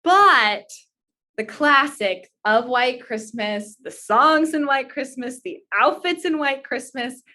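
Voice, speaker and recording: A woman's voice: sits high, slow pace, sharp tone. A woman chatting casually as a guest on an interview show, talking about charity work and favorite things. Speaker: podcast guest, charity advocate.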